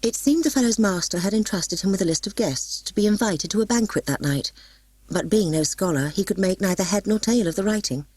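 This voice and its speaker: A woman reading a scripted storybook as an audiobook narrator, in a husky voice with dramatic, expressive delivery. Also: medium pitch, a little fast, dry recording, poor audio.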